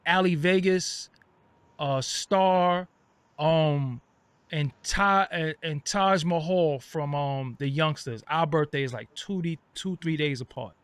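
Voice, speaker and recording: Man, host, higher-pitched, casual street talk, dry close sound. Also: takes it slowly, clear, resonant tone, no echo. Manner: loose, filler-heavy street slang. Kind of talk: casual interview chat.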